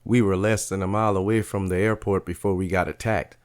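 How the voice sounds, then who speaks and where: A man's voice: low voice, flat delivery, a little fast, no room sound, clean studio sound, natural voice. A man voicing a determined young adult man who speaks in a direct, questioning way. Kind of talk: audio drama storytelling.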